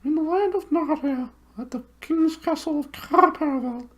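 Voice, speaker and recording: A man voicing a sleepy, fussy old male owl who hoots as he talks, in a very high strained falsetto. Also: normal speed. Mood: fussy hooting. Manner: hooting, breathless.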